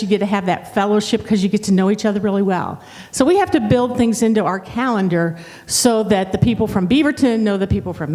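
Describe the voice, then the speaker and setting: An older woman from Ohio with a slight Southern twang and a strong, loud voice, speaking as a guest associate pastor in a chatty way. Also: mid-range voice. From Ohio, USA. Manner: chatty and warm, with restarts and laughs. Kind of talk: guest church talk. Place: church. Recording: long reverb of a church hall.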